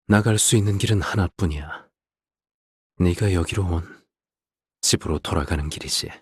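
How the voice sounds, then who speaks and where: An actor voicing a controlling, world-weary father and menacing swordsman in a video game, husky and deliberate, speaking with ominous softness. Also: low-pitched, no room sound, clean studio sound, heavily acted. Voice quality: husky, breathy. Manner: slow, deliberate, ominously soft-spoken. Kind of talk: dramatic game character voice lines.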